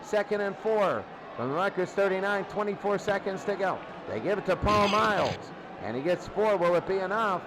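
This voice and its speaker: A high-voiced man gives live football play-by-play. He speaks in short, clipped phrases as the action moves. The recording is a noisy, low-fi broadcast. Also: lively intonation, moderate pace, hardly any pauses. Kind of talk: live sports commentary.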